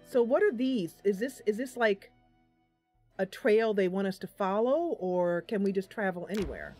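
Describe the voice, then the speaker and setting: A woman's voice: medium pitch, animated, normal speed, warm tone, soft background music. A woman streaming a game live, chatting casually and affectionately with her viewers.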